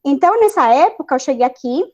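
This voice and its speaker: A woman's voice: high-pitched, expressive, fast talker, warm tone, dull audio. A woman with a Spanish accent, Spanish being her first language, speaking earnestly as a scientist guest on an online panel, with many hesitations and restarts. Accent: Spanish-accented Portuguese. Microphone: video call.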